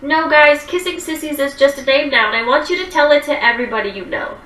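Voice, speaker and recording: Young woman, 20s, rambling livestream chat, bright edgy voice, echoey room a bit off-mic. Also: sits high, quick pace, far from the mic. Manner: chatty, rambling, lots of "like" and "um". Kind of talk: casual livestream chat reading viewer comments.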